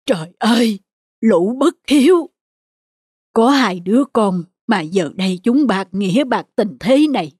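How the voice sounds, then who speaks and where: A woman voicing a kindly old village father in an animated folk tale, plaintive and fatherly, with a husky voice. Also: mid-range voice, a little slow, dry recording, clean studio sound. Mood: hurt, dismayed.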